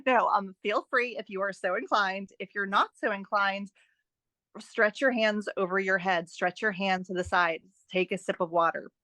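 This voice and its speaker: A woman guest in a conversational interview, giving advice in an animated, emphatic and encouraging way with playful asides. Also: medium pitch, moderate pace, dry recording. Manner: animated, emphatic, repeats phrases for stress. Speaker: podcast guest, writing and editing expert.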